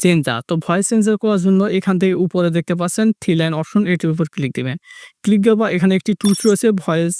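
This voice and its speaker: A man's voice: high voice, a little mellow, no echo, studio quality. A man narrating a step-by-step tech tutorial, repeating his instructions as he goes. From Bangladesh. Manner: repetitive instructional phrasing.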